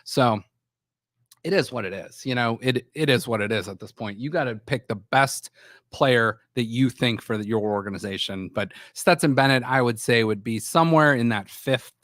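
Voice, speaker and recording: A man's voice: mid-range voice, normal speed, a bit bright, dry recording, clean studio sound. A man hosting a sports talk show, with a scratchy voice from a sore throat, rambling with frequent restarts. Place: small studio.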